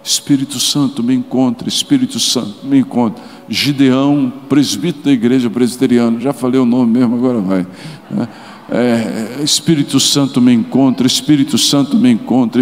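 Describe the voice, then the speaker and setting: A middle-aged man with a Brazilian Portuguese accent preaching a sermon and telling a story, moving between quiet asides and sudden loud exclamations. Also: fairly high pitch. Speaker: pastor. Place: large church auditorium. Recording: live church sound with long reverb and faint music.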